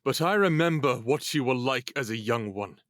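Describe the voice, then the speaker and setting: A man playing a level-headed, candid older envoy in an audio drama, calm and plain-spoken. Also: mid-range voice, normal speed, no room sound, clean studio sound, close to an everyday voice. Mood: knowing reproach. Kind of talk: dramatic audio fiction acting.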